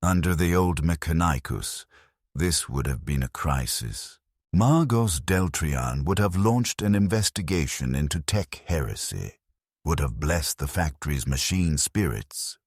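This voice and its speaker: An extremely low-voiced man narrates a dark science-fiction tale with a husky rasp. His delivery is grave and measured, yet the pitch rises and falls dramatically. The recording is close and dry. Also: dry recording. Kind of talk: scripted first-person dramatic audiobook narration.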